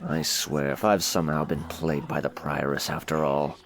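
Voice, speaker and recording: A man's voice: very deep voice, flat delivery, normal speed, over music, pitched below the performer's own voice. A man voicing a haughty, theatrical aristocratic sorcerer in a fantasy audiobook, with a pompous, dry drawl. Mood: brooding, irritated.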